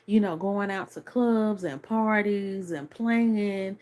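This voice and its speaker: A woman's voice: mid-range voice, slow pace, a little mellow. A woman in her thirties giving a casual, opinionated video review, chatty and full of fillers. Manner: chatty, many fillers like "like" and "you know"; earnest, opinionated. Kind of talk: casual commentary to camera.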